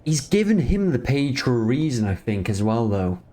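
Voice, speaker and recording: A man's voice: mid-range voice, big pitch swings, moderate pace, warm tone. A man giving casual reaction commentary as a streamer, musing aloud and often trailing off mid-thought. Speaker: streamer reacting to a fan-dubbed game movie.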